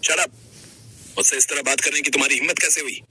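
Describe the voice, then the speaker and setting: Sharp, arrogant young husband acted by a man. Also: medium pitch, fairly even intonation, quick pace, husky. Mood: angry, scornful. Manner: curt, scolding, dismissive. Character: arrogant, cold, callous young husband, businessman.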